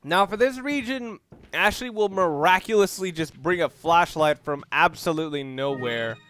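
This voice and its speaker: A man's voice: a little high, normal speed, a bit bright, clean tone. A man giving casual, offhand and somewhat rambling commentary while playing a video game. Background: video game music.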